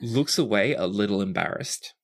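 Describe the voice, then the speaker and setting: A man's voice: on the low side, normal speed. A man narrating a tabletop roleplaying game as game master, describing scenes in a conversational way.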